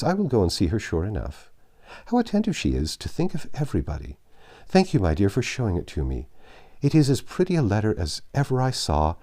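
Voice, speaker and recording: A man's voice: fairly low pitch, dark tone, heavily acted. A man voicing a garrulous, good-hearted older gossiping matron in an audiobook, chatty and full of exclamation. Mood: delighted, approving. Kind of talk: dramatised audiobook character voice.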